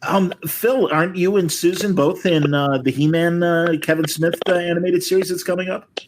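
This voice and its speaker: A man's voice: high-pitched, fairly even intonation, normal speed. Polite, hesitant male host, noisy call. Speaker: host moderating a cast panel. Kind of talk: casual interview questions. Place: indoors on a group video call. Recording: video call with noise and clicks.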